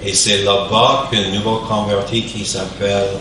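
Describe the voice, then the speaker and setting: Hesitant pastor in an echoey church. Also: male voice, on the low side, flat delivery, normal speed, noisy background. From Canada, New Brunswick. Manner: hesitant, searching for words. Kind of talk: church service introduction. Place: church sanctuary. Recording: echoey church hall from far off.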